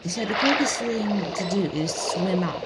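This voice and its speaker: A woman's voice: mid-range voice, normal speed, very noisy. A woman gaming streamer giving casual playthrough commentary. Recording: loud game music behind.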